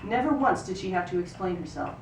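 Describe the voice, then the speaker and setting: Female reader for literary fiction, expressive third-person storytelling, recorded off-mic in a roomy space. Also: lowish voice, a little fast. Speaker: actor reading a book excerpt. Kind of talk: live literary reading, third-person narration. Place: live reading in a roomy venue. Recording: roomy, distant microphone, noisy ambience.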